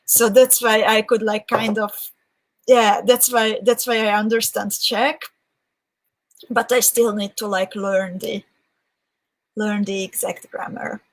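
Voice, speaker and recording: Slow-talking Hungarian woman chatting live. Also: mid-range voice, level tone, rough recording. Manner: chatty, thinking aloud with restarts; reads viewer comments aloud. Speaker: live-stream host. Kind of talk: casual live-stream chat.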